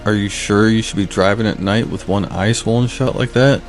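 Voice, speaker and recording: An actor playing a practical, reluctant but loyal small-town friend in an audio drama, plain-spoken and skeptical. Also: male voice, fairly low pitch, steady tone, normal speed, faint music behind, lightly acted.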